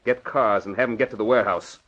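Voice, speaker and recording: A low male voice makes a cold crime boss of the radio serial era. He is curt and scheming, holding anger in check with open menace. The recording is dull and old. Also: fast talker, fairly bright tone. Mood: commanding. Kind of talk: old-time radio drama acting.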